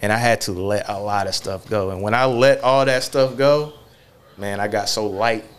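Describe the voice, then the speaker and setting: Low-voiced man rambling on a podcast. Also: moderate pace, clear, resonant tone. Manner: rambling, heavy on filler words and restarts. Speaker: podcast host. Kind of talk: casual podcast conversation.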